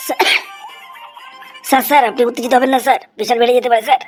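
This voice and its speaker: A woman's voice: on the high side, fairly even intonation, very fast, darkish tone, soft background music, close to an everyday voice. A woman voicing an eager, cheeky, long-winded schoolboy in a comedy cartoon, speaking earnestly and respectfully. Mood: soothing. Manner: deferential, earnest, rambling classroom recitation. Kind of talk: Bengali comedy cartoon voice acting, recitation.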